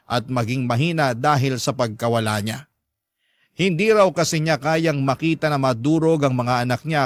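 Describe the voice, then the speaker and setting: Guy narrating a radio drama story in first person, medium pitch, dry studio sound. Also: no room sound.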